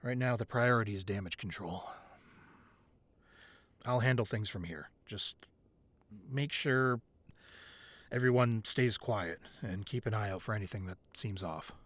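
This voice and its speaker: A man's voice: on the low side, unhurried, pauses often, fairly bright tone, no echo. A man playing an affectionate boyfriend who secretly runs a crime ring, in an intimate, conversational ASMR roleplay. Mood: cold, stern. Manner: conversational, playful with partner, curt and commanding in business.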